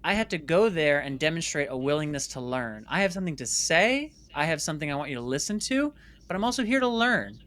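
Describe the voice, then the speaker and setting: Bright-voiced, emphatic man in interview. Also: on the high side, very animated, quick pace. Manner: emphatic, repeats phrases for stress. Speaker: interview guest, filmmaker and former stage actor. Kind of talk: conversational storytelling interview.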